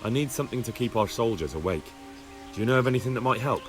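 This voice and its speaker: A man's voice: medium pitch, animated, quick pace, over music, close to an everyday voice. A man acting an earnest young medieval man-at-arms in a video game, polite and straightforward.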